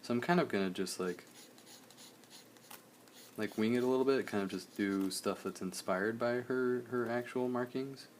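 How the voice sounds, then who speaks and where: A young man, slightly hoarse, chatting casually in a relaxed, rambling way while he streams a drawing session. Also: mid-range voice, normal speed, a little hiss or hum. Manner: relaxed, rambling, many fillers.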